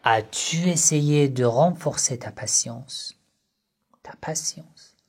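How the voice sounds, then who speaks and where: A man giving a religious lesson on family life, speaking in short, measured phrases and asking rhetorical questions that he then answers himself. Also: medium pitch, a little mellow, slightly rough. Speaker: religious teacher.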